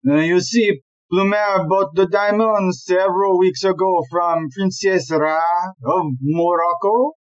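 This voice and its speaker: A woman acting in an audio play as a smooth-talking, dismissive male police chief inspector who is a philanderer. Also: on the low side, steady tone, unhurried, hardly any pauses, lo-fi sound, lightly acted. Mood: composed.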